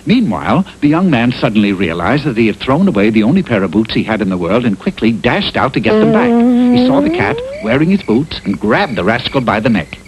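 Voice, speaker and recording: A man's voice: mid-range voice, wildly swooping pitch, a little fast, few pauses, low-quality recording. A man narrating a cartoon fairy tale in a tongue-in-cheek storybook style, on an old TV soundtrack. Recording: old taped TV cartoon soundtrack, faint noise.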